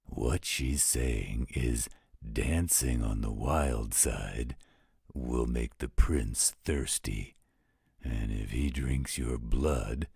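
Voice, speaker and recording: A man playing a gruff, grumbling, overprotective werewolf guardian with a gravelly, husky voice in a roleplay audio drama. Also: very deep voice, darkish tone, no room sound, studio quality, heavily acted. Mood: stern, exasperated. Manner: slow, grumbling, disapproving.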